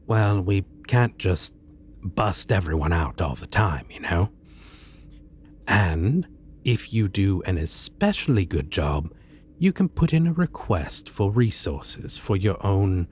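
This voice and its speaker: A man playing a polite, self-assured supervillain in an ASMR roleplay, speaking in a pleasant, businesslike and theatrically measured way. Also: low-pitched, darkish tone, slight background noise, full character voice. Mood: courteous, businesslike. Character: polite, self-assured male supervillain interviewing a minion recruit.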